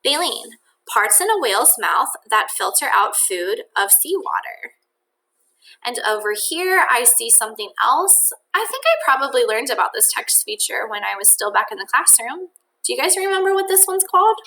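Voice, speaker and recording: Woman, teacher, children's read-aloud, higher-pitched, bright voice, expressive, careful enunciation, thinking aloud for young listeners. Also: moderate pace, low-quality recording.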